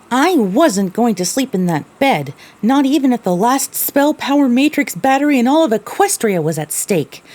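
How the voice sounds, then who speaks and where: An expressive, high-voiced young pony heroine. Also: female voice. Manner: expressive, theatrical. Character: young female pony, weary, sarcastic, curious wasteland survivor. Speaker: narrator and lead character. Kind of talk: first-person dramatic audio narration.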